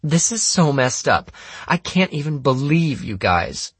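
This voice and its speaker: Man voicing an indignant high-pitched teenage girl. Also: sing-song, a little slow, husky, dry recording, heavily acted. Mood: outraged. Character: indignant teenage girl accusing someone of theft.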